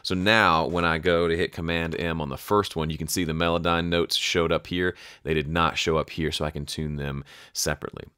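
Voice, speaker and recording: A man with a low voice talks through a software tutorial in a dry, close studio sound. He is casual and conversational, often thinking aloud and interrupting himself as he explains. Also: steady tone, brisk, few pauses, clean tone, no room sound. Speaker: audio production tutorial host. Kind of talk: casual screen-share tutorial talk.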